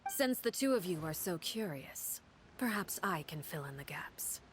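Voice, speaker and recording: A woman playing a commanding, composed leader with veiled menace in a video game, speaking in a measured, formal, quietly authoritative way. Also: on the low side, animated, a little hiss or hum, lightly acted. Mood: composed, reassuring. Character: commanding adult female head of secretive orphanage, composed, veiled menace.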